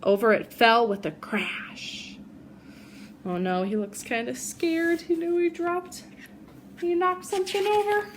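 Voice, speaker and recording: A woman's voice: very high voice, steady tone, a little hiss or hum. A woman teacher reading a picture book aloud expressively to children, with asides to young listeners. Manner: pauses to ask young listeners questions.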